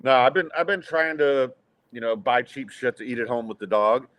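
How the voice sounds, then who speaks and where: A man's voice: mid-range voice, normal speed, a bit bright. A man in his fifties hosting a podcast, joking in a self-deprecating, wry way. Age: 50s. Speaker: podcast host. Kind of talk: casual podcast banter.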